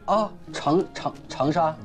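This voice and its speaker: An actor playing a dutiful, nervous young servant who stammers and reads aloud slowly and haltingly, with music playing behind. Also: male voice, fairly high pitch. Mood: reading haltingly.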